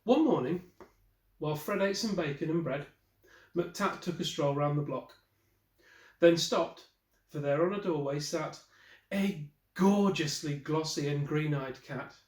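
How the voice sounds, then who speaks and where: A man in his forties reading a picture book aloud as an expressive storyteller, the way a teacher would. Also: fairly high pitch, unhurried, pauses often. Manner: expressive storyteller with brief asides to listeners. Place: small wallpapered room indoors. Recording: roomy, echoey indoor recording.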